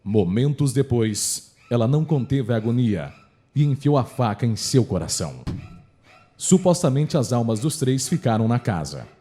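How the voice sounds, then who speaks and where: A man's voice: mid-range voice, big pitch swings, moderate pace, warm tone. A man with a Brazilian accent narrating a scripted horror story for radio drama. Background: faint music.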